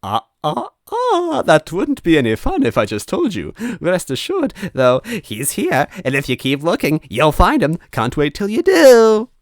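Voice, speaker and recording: A man's voice: on the high side, huge pitch swings, dry recording, clean studio sound, pitched above the performer's own voice, full character voice. A man voicing a mischievous, taunting male ghost in a story reading, with slangy, teasing delivery. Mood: taunting, gleeful. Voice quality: distorted. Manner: teasing, slangy, drawn-out exclamations.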